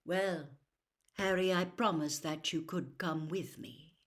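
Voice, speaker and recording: A woman voicing a calm, elderly male wizard headmaster in a storybook, soft, measured and grave. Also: lowish voice. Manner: measured, calm, gentle gravity.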